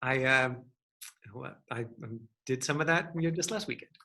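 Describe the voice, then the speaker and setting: A man's voice: mid-range voice, moderate pace, creaky, sharp tone. A man working as an acting coach, teaching and answering questions in a rambling, encouraging, conversational way. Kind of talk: casual online acting lesson with question and answer.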